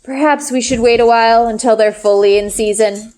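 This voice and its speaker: A woman voicing a kind, steady father in a storybook, speaking in a gentle, reassuring manner. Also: a little high, fairly quick, natural voice. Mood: playful.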